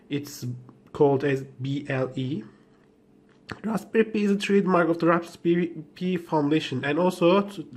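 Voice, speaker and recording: Guy with turkish as his first language, kinda high voice, slow and hesitant, just woke up, unboxing stuff. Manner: hesitant, halting, with many fillers and restarts. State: just woken up. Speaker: tech vlogger. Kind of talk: unboxing commentary.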